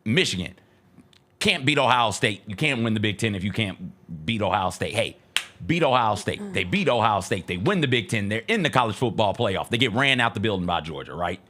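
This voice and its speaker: A man's voice: on the low side, animated, fairly quick, pushed and bright. A man on a sports talk show debating off the cuff, making emphatic, step-by-step points and repeating phrases for weight.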